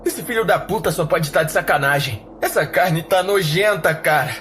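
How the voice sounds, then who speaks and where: A man's voice: a little high, moderate pace, fairly bright tone, voice pushed up, close to an everyday voice. A man playing a wary, irritable young driver with a Brazilian accent in a horror audio drama, tense and slangy. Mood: disgusted, indignant. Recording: music under the dialogue.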